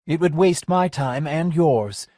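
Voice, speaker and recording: A man's voice: a little high, lively intonation, slow, mellow, dry recording, clean studio sound, pitched above the performer's own voice. A man doing a curt, tough streetwise woman. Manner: curt, dismissive.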